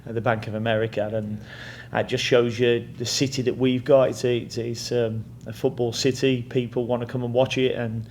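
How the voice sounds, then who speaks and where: A middle-aged Englishman answers reporters' questions in a level, even-toned voice that stays close to one pitch. He talks plainly and repeats words while he works out his thoughts. A faint hiss sits behind him. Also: moderate pace, few pauses. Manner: plain-spoken, repeats words while thinking, lots of "you know". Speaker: head coach. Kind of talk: press conference answers.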